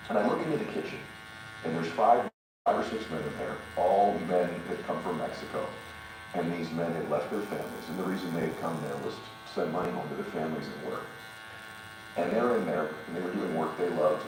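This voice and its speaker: A middle-aged man with a deep voice, giving motivational interview talk and telling personal stories in an emphatic way, repeating phrases for effect. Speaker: podcast guest, businessman and motivational speaker. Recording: roomy echo, distant mic, steady electric buzz and hum.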